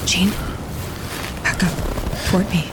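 A woman's voice: slow, close to an everyday voice. Audio drama: woman playing anxious, insistent female nature photographer, natural delivery, noisy outdoor ambience. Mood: hushed, wary.

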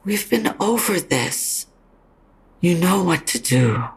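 An actress playing a frank, consoling older mother near death in an audio drama, speaking slowly and haltingly with a husky, creaky voice. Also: lowish voice, very animated, heavily acted. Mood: weary, insistent. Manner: slow, halting, probing. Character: dying mother, frank, consoling, stubbornly insistent.

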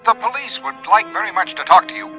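An actor playing a hesitant, apologetic doctor speaking haltingly over the telephone in a 1950s radio drama. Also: male voice, high voice, lively intonation, pushed and bright. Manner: awkward, halting. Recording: muffled telephone sound with music.

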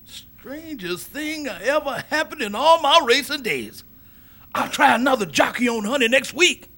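An actor playing a brusque, skeptical racehorse owner in an old-time radio play. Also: male voice, extremely high pitch, very animated, measured pace, pushed and bright, exaggerated voice. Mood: grudging. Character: gruff skeptical middle-aged male racehorse owner. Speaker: horse owner. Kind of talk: 1940s radio acting.

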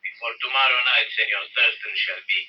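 A man's voice: fairly low pitch, fairly even intonation, brisk, breathy edge. An actor playing a deferential but menacing male henchman in an old radio thriller. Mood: obedient menace. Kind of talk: old-time radio adventure drama acting. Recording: dull, noisy vintage radio recording.

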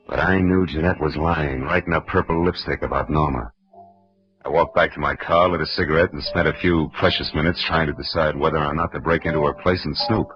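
A man with an American accent playing a wry private detective in 1940s radio style, with dry, sardonic delivery. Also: a bass voice. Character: wry, hard-boiled male private detective. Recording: dull restored old radio recording.

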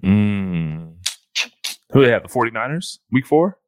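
A man's voice: on the low side, very animated, measured pace, smooth, no echo. A man co-hosting a fantasy football podcast in casual chat, often restarting his phrases.

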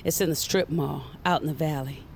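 An actress playing a blunt, no-nonsense, mysterious mentor with a gruff delivery in an audio drama. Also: female voice, steady tone, fairly quick. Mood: wry, firm. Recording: faint vehicle rumble behind.

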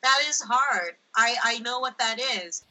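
Very bright, animated woman interviewee. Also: on the high side, measured pace, poor audio. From United States. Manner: animated, laughs, acts out quoted speech. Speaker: linguistic anthropologist guest. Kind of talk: conversational interview answers.

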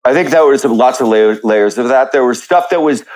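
A man speaking as an interview guest, explaining his writing process conversationally and thinking aloud in a measured way. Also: medium pitch, a little mellow.

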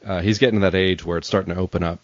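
Guy hosting a podcast, real low voice, hesitant casual chat, muffled dry sound. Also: steady tone, rapid-fire, no room sound. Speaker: podcast host. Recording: dull, lo-fi close microphone.

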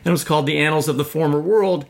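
Male host, informal storyteller, lots of vocal fry, slightly high register. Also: racing. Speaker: podcast host. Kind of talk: casual anecdotal chat.

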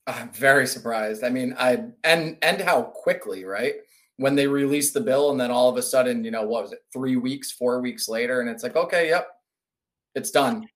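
A man's voice: medium pitch, normal speed, fairly bright tone. A middle-aged man from Connecticut answering casually as a guest in an interview. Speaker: podcast guest, business executive.

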